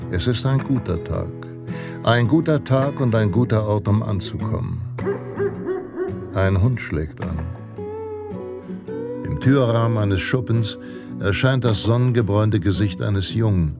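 Western radio-play narrator, low voice, solemn, measured, plucked guitar behind. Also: male voice. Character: solemn western narrator telling a lone hero's tale. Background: plucked guitar music.

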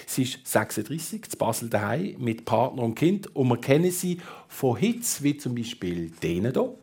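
A man's voice: medium pitch, very animated, normal speed, mellow, husky. A man with a Swiss German accent hosting a conversational radio talk show in a studio. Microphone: close studio microphone.

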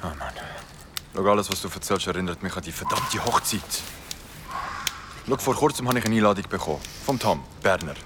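An actor playing a brash, impulsive young bro in an audio drama, talking in slang laced with English. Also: male voice, low-pitched, expressive, a little fast, heavy background noise. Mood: grudging.

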